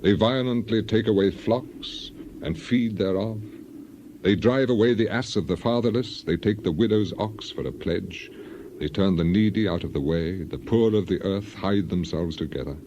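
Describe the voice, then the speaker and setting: A man's voice: fairly low pitch, normal speed, mellow, slight background noise, lightly acted. A man playing an aggrieved, devout ancient sufferer in a dramatized scripture reading, solemn and declamatory. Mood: grave indictment. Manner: solemn, declamatory, emphatic, archaic phrasing.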